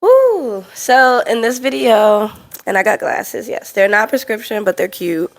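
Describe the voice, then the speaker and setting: A woman in her twenties with a slightly stuffy voice from a cold, telling a personal story to camera in a candid, animated way. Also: on the high side, unhurried. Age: 20s. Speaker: vlogger.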